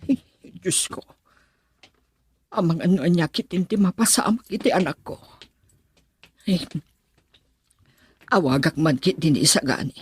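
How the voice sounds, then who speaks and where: A woman's voice: low voice, breathy edge, dry recording, heavily acted. A woman with an Ilocano accent playing an anxious middle-aged mother who frets aloud about her missing son in a radio drama. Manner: fretful, talking to herself in worry.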